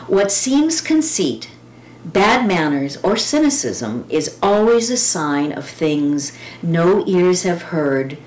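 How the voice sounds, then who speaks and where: A woman reading poems and quotations aloud with informal commentary, speaking in a reflective, deliberate way with pauses and drawn-out words. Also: deep voice, a little mellow. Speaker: poetry reader. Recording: roomy echo with clear background noise.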